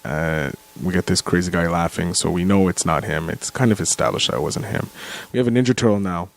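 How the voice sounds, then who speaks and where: Man, very deep voice, off-the-cuff film commentary, halting, descriptive. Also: creaky. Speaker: commentary contributor.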